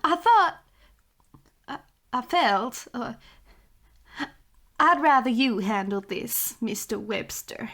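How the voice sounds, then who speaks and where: An actress playing an anxious, doting 1940s widow in a noir audio drama, earnest and hesitant. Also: female voice, fairly high pitch, expressive, slow, pauses often, fairly bright tone, full character voice. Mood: pleading, frantic.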